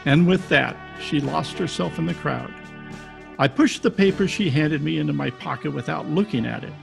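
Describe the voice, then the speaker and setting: An actor playing a wry, deadpan private detective who narrates in 1940s radio style with a gravelly voice. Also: male voice, fairly high pitch, moderate pace, music in the background. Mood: wry narration. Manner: deadpan, wisecracking. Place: home, recorded over video call.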